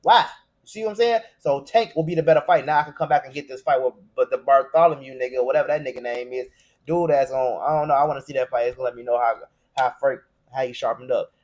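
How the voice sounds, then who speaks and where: A man's voice: mid-range voice, fast, a little mellow. An American man giving an opinionated, casual boxing commentary, arguing his points in profane slang.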